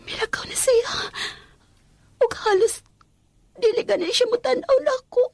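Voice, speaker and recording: High-voiced lovesick Filipino housemaid. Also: female voice, a little slow, gravelly, darkish tone, no room sound, heavily acted. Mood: tearful longing. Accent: Filipino, Visayan. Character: lovesick housemaid, a mother pining for her master. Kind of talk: radio drama acting with confessional narration.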